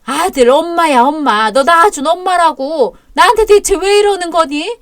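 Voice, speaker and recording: A woman's voice: high voice, slow pace, voice pushed up. A woman voicing an abusive, greedy older mother-in-law who screeches insults in an audio drama. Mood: pleading. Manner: screeching, insulting, whining when cornered. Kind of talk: Korean audio drama acting.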